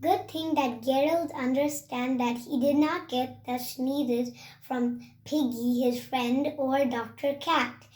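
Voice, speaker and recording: A child with a high voice hosts a read-aloud of a picture book. The delivery is slow and measured. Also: steady tone, a little mellow.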